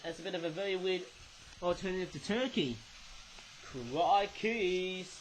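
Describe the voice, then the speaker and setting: A young man with an Australian accent and a notably high voice, talking through a toy review in a loose, rambling way. He keeps tacking a questioning "eh?" onto his remarks as he goes. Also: a little slow, a little hiss or hum, low-quality recording. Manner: rambling, tags sentences with "eh?". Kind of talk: casual toy review monologue.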